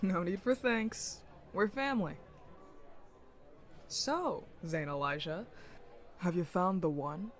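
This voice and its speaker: A man's voice: high voice, slow pace, pauses often. A man playing a loyal, teasing young nobleman in an audio drama, eager and playful in manner. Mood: sincere, loyal.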